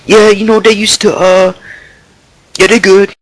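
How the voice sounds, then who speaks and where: A man's voice: high-pitched, level tone, a little slow, rough recording. A man playing a dim-witted young baseball prospect who rambles with slang and lots of fillers. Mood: cocky, rambling. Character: dim-witted young male baseball prospect, deli-obsessed, Bronx swagger. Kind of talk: improvised mock interview.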